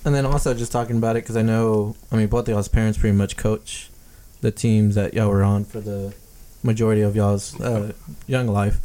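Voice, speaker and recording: A man's voice: lowish voice, little pitch movement, brisk, mellow, low-quality recording. A man co-hosting a podcast, asking casual, rambling questions in an informal way. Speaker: podcast co-host. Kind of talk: casual interviewing.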